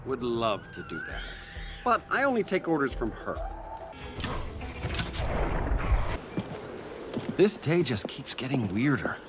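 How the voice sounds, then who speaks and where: A cocky male hero with a mid-pitched, animated voice. He tosses off sarcastic game-cutscene wisecracks over music. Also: moderate pace. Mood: flippant. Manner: flippant, sarcastic banter. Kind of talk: video game cutscene acting.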